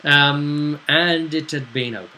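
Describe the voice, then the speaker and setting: A man's voice: a little high, fairly even intonation, slow pace, pushed and bright. A man chatting through a recap of a tabletop role-playing session as game master, in a bantering, conversational storytelling way. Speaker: game master and show host.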